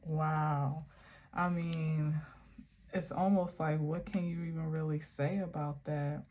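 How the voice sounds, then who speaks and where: Host, female, slow meandering tarot vlogger, deep for a woman, thinking aloud and correcting herself. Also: little pitch movement, darkish tone. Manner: meandering, musing, self-correcting. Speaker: spiritual tarot reader. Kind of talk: casual card-reading vlog. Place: outdoor garden.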